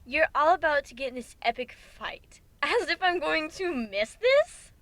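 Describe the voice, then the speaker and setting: An eager young-sounding female pony played by a man in a dramatic fan fiction reading. The voice is high and very lively, with a flippant, excitable delivery. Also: a little slow, exaggerated voice.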